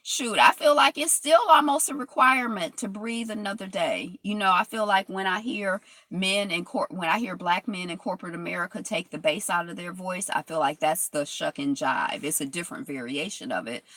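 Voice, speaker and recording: A woman's voice: on the low side, normal speed, hardly any pauses, fairly bright tone, no echo. A woman vlogger reading from a history text and rambling through reflective asides, with many fillers and restarts.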